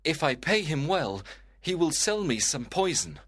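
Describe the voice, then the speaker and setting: A man playing a desperate, grief-stricken young lover in a dramatised audiobook, speaking deliberately and dramatically. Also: on the high side, very animated, a bit bright, full character voice. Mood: grimly resolved. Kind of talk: dramatised graded-reader audiobook.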